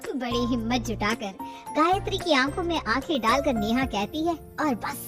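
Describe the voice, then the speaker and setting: A woman's voice: high-pitched, animated, normal speed. A woman narrating a scripted family story as a storyteller. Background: music.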